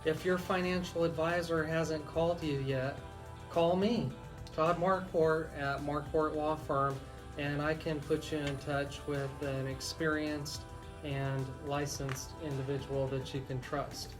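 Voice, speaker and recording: A man's voice: a little high, level tone, a little slow, over music. An American man hosting live talk radio as an attorney, explaining things and addressing listeners directly. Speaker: radio host and estate planning attorney.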